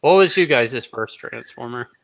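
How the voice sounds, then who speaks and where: A man chatting casually as a podcast guest, telling stories in an animated, repetitive way. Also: medium pitch, normal speed, gravelly, dry recording. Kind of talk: casual chat.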